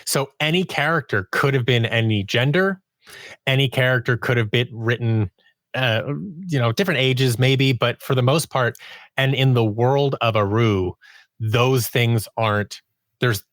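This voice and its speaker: A man speaking as a guest writer and producer in a casual interview, haltingly thinking aloud and restarting his phrases. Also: mid-range voice, fairly bright tone, no room sound. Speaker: podcast guest, audio drama writer and producer.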